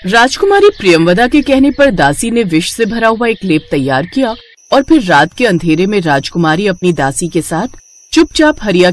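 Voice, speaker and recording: A woman narrating a scripted moral tale as a storyteller for a cartoon. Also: fairly low pitch.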